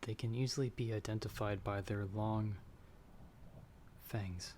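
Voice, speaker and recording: A man playing a timid young traveler in an ASMR audio roleplay, speaking softly and hesitantly. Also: lowish voice, steady tone, unhurried, lightly acted. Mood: curious, uneasy. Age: young adult. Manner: soft, hesitant, stammering when afraid. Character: timid young male traveler, storyteller trapped by a vampire. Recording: background storm noise.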